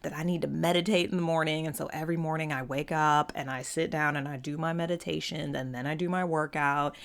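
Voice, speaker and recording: Female host, slightly low voice, conversational and unscripted, explains ideas informally and slips into imagined quotes. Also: fairly even intonation, a little fast, vocal fry. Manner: conversational, checks in with "right?". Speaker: host of a personality typology show. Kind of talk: casual unscripted explainer monologue.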